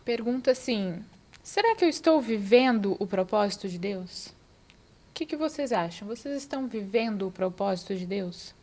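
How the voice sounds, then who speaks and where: A woman's voice: mid-range voice, animated, moderate pace, darkish tone. A woman co-hosts a podcast in a mid-range voice. She sets out the theme with emphasis and puts questions to the others. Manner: emphatic, introduces the topic with questions. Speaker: podcast co-host. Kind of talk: casual chat.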